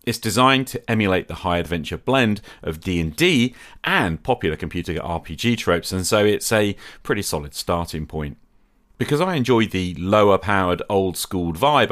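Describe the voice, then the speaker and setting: Deep-voiced man hosting a podcast. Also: a bit bright. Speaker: podcast host. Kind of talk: scripted podcast monologue.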